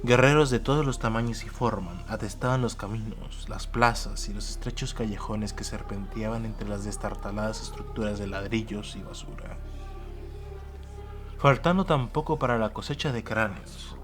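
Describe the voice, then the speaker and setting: A man narrating a war tale as a dramatic audiobook, measured, solemn and emphatic. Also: lowish voice, fairly bright tone. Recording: music behind the voice.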